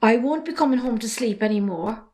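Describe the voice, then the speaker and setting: A cheeky, outspoken young Irishwoman , acted by a woman, with a high voice. She is a farmer's daughter in drama dialogue, defiant and sardonic, holding her ground. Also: animated, fairly quick, warm tone. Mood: frank.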